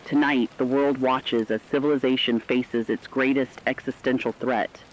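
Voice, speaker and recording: A man playing a sombre radio war correspondent, delivering a scripted news bulletin in a solemn, dramatic, deliberate way. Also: medium pitch, steady tone, lightly acted. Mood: grave, urgent. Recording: dull lo-fi radio sound, faint noise.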